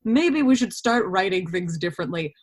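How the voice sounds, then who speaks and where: A woman with a mid-pitched voice talks in a relaxed, conversational interview style. She slips into little imagined quotes as she explains her points. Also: normal speed. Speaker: interview participant, actor.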